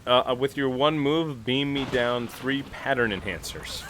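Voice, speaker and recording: A man playing a tabletop roleplaying game, talking casually and haltingly as he thinks aloud. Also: medium pitch, background noise.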